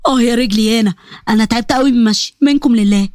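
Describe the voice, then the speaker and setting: Audio-story father: middle-aged Egyptian man, lowered voice, tired and tender played by a woman. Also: very fast, darkish tone, no room sound, clean studio sound, natural voice. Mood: grumbling. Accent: Egyptian Arabic.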